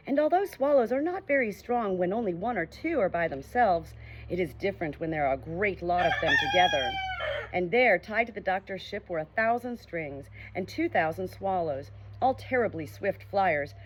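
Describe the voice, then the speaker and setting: A woman narrating a children's storybook as an audiobook, reading in a clear, steady way. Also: fairly low pitch, low-quality recording.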